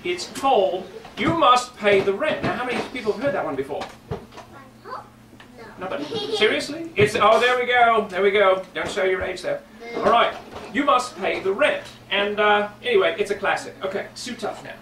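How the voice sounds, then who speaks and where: A man playing a bumbling, chatty ventriloquist who tells children a comic story with halting, flustered asides. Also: high-pitched, animated. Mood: chatty, welcoming. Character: bumbling, chatty male ventriloquist puppet-show presenter named Nigel. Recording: echoey, noisy room, distant microphone.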